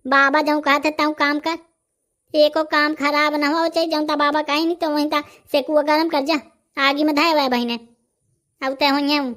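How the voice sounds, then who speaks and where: A gossipy cartoon villager acted by a man rambles in a Bundelkhandi accent. The voice is pitched up high and sounds artificial, with an earthy, exclamatory way of talking. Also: little pitch movement, moderate pace, sharp tone. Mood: agitated. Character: excitable, gossipy male villager in a comedy cartoon. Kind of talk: rustic comedy cartoon dialogue.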